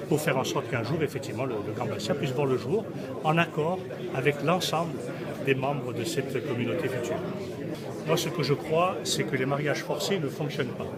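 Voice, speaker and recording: A male politician explaining his position in an interview, measured, building points through repetition and lists. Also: mid-range voice. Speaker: local politician. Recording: loud crowd hubbub around him. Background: loud crowd hubbub.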